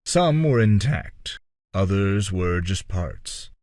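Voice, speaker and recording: A man with a low voice narrates a dark fantasy audiobook. His intonation moves widely and dramatically, with deliberate pauses between phrases. The recording is dry and close, with hardly any room sound. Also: lively intonation, no echo. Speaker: audiobook-style reader.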